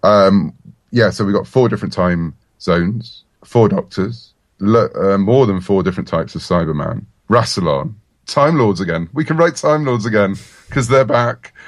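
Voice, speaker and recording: A man with a low-pitched voice talks in a casual, enthusiastic way. He is an interview guest, chatting freely in a very dry recording. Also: expressive, moderate pace, no echo. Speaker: interview guest, comic writer.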